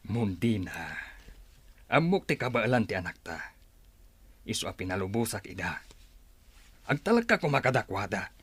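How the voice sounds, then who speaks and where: A man playing a calm, cautious older father in a radio drama, speaking in a measured, gentle way. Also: lowish voice, husky, dry recording, exaggerated voice. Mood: reassuring.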